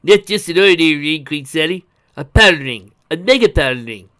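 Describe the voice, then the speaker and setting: A man voicing an excitable old uncle who is an inventor, explaining things with emphasis. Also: fairly high pitch, brisk, voice pushed up. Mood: exulting, earnest.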